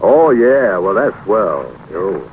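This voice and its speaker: A man's voice: fairly low pitch, big pitch swings, slow pace, rough recording. An actor playing an easygoing, middle-aged male friend in an old-time radio comedy-drama, with a hearty, folksy manner. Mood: hearty, grateful. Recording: old radio broadcast with faint noise.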